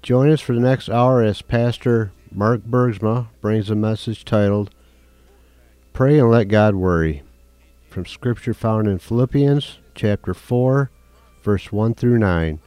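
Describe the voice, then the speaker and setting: A male radio announcer reading a formal scripted introduction to a live church broadcast in a smooth, practiced way. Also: lowish voice, measured pace, warm tone, no echo.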